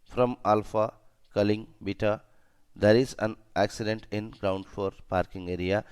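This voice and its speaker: Guy teaching a safety training class, non-native english, clean smooth voice, repeats stuff and keeps checking you follow. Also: fairly low pitch, fairly even intonation, measured pace, darkish tone. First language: not English. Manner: emphatic, repetitive explaining with frequent tag questions. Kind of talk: instructional lecture.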